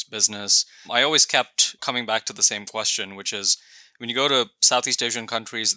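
A man's voice: lowish voice, sharp tone, no room sound. A man from Malaysia, a newsletter founder and analyst, answering interview questions in a talkative, articulate way with frequent fillers. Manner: articulate, many fillers like you know and sort of.

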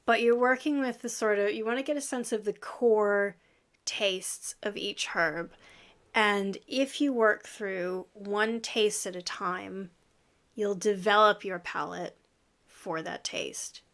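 A woman's voice: medium pitch, takes it slowly, fairly bright tone. Woman, 30s, American accent, chatty interview guest, laughs often, home office, video call. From lives in Yorkshire, UK. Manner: chatty, laughs often, gestures while talking. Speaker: guest herbalist. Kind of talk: casual interview chat. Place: home office with framed flower print.

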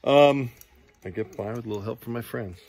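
A man's voice: medium pitch, fairly quick, darkish tone. A middle-aged man in his fifties filming a casual road-trip vlog outdoors on a handheld phone, chatting in a self-deprecating way and fumbling for words as he works on his car. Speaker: vlogger fixing his classic car. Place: outdoors in a sunny desert yard. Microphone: handheld phone camera at arm's length.

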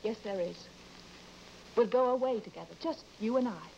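An actress playing an anxious, devoted and insistent wife in an old-time radio horror drama, pleading in an earnest, dramatic manner. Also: female voice, a little high, normal speed, gravelly, darkish tone. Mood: urgent, reassuring. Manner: earnest, dramatic, urging with broken, repeated phrases. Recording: dull, noisy old radio broadcast recording.

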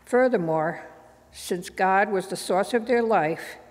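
Older woman preaching in a reverberant church. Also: mid-range voice. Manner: measured, deliberate reading. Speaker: lay minister. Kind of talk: sermon reading from notes. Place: large church sanctuary. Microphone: podium microphone.

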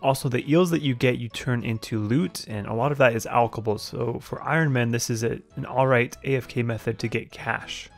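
A young man reads out a video game tutorial as a voice-over, plain and matter-of-fact, slipping in the odd filler. His voice sits at a middle pitch with a gravelly, fry-laden edge. Soft music plays faintly underneath. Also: brisk, few pauses. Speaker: game guide narrator.

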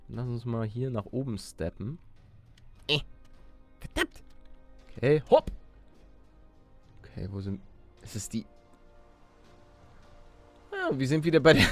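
A man's voice: mid-range voice, dry recording. Man doing casual gaming commentary. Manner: youth slang mixed with English gaming words. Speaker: gaming video host. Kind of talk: casual Let's Play commentary, thinking aloud.

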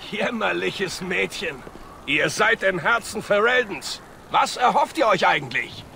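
An arrogant male commander, cruel and contemptuous. His voice is fairly high for a man and heavily gravelled, in fantasy game style amid clear background noise. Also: lively intonation, measured pace, sharp tone, heavily acted. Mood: scornful. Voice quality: gravelly, creaky. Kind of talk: dubbed video game villain acting. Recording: noisy outdoor game ambience.

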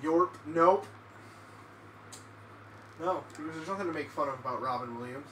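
A man's voice: fairly high pitch, moderate pace, a bit bright. A young man co-hosting a game let's play, joking and rambling in casual chat. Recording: roomy echo, off-mic, faint hiss.

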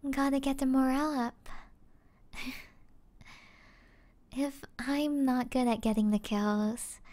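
Woman playing a high-pitched, soft-spoken gamer girlfriend. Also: fairly even intonation, takes it slowly. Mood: cheerful, encouraging. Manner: gentle, cooing, giggly, hesitant. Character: sweet young gamer girlfriend, affectionate, apologetic. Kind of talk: soft-spoken ASMR roleplay.

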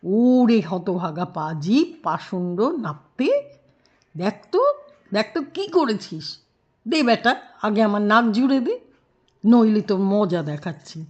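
A woman voicing a sly, cunning male jackal pundit in a children's story, with theatrical, drawn-out singsong calls. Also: mid-range voice, very animated, muffled recording. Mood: angry.

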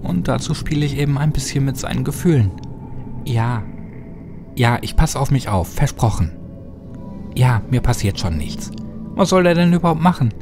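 A man's voice: medium pitch, expressive, normal speed, pauses often, over music, pitched above the performer's own voice, natural voice. A man voicing a scornful, carefree teenage girl chatting on the phone in a horror audiobook. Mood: scornful, flippant. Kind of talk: horror audiobook character reading.

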